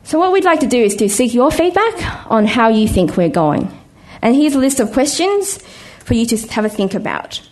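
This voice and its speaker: A woman chairing a conference session, smoothly handing over to the next speaker. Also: a little high, darkish tone. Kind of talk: formal event hosting.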